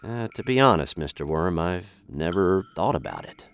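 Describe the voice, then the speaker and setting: An actor playing a bewildered, polite American captive in a comic radio sitcom. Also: male voice, low voice, big pitch swings, moderate pace, creaky, exaggerated voice. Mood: polite curiosity. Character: bewildered, polite American man chained in a dungeon. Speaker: narrator star of the show.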